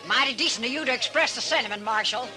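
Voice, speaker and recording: An actress playing a brash, domineering middle-aged saloon owner with a big, harsh voice and an American Western drawl, in 1950s radio western style. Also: female voice, on the high side, quick pace, natural voice. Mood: brash, folksy. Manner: blunt, bossy, folksy. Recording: old radio recording with music.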